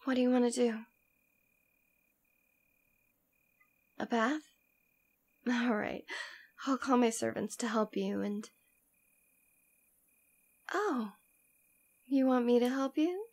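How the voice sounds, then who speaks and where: A woman acts out a demon queen devoted to her lover, in an intimate ASMR audio drama. Her voice sits slightly high, with plenty of creak at the edges. She is tender, protective and teasing, often sinking toward a whisper. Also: slow, pauses often, a little mellow. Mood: playful, teasing.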